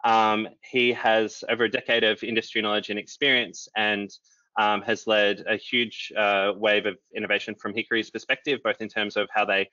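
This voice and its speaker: A young man moderating a panel on a video call, relaxed and filling pauses with ums. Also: on the low side, no room sound. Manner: relaxed, many "um" fillers. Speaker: moderator, company chief executive. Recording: dull lo-fi video call audio.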